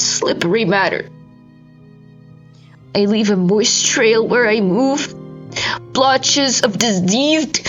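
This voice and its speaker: A woman's voice: mid-range voice, dull audio, close to an everyday voice. A woman voicing a tormented, despairing lone survivor in a dramatic storybook monologue, anguished and swinging between whispers and cries. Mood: tearful despair.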